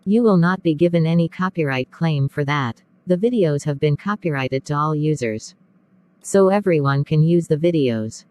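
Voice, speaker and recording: A narrator reading a scripted step-by-step software tutorial. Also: mid-range voice, lively intonation, normal speed, no room sound.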